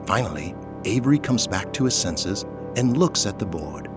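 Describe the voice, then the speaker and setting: A man's voice: on the low side, sing-song, normal speed, music in the background. A man reading scripted narration for an audio drama.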